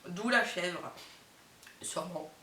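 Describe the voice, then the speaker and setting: A woman's voice: fairly low pitch, slow, pushed and bright. A young woman talks casually with a head cold that makes her voice nasal, filling her chat with lots of fillers and amused asides. She sits some way from the camera in an echoey room. Faint hiss runs under the recording. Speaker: vlogger. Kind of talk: casual chatty vlog, reading out summaries with reactions. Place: indoors, not her bedroom. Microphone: camera mic. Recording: roomy, distant camera mic with faint hiss.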